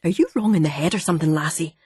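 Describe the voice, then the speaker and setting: A woman voicing a gruff, wheezy older ex-miner man with a deep, gravelly voice, a Fife accent and a blunt, irritated manner. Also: very fast, darkish tone, no room sound, clean studio sound. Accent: Fife Scottish.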